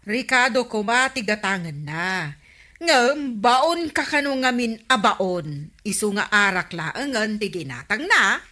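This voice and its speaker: A woman's voice: mid-range voice, animated, takes it slowly, pushed and bright. A woman playing a chatty, teasing village store woman in a comedy radio drama, full of questions, with an Ilocano accent. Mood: gossipy.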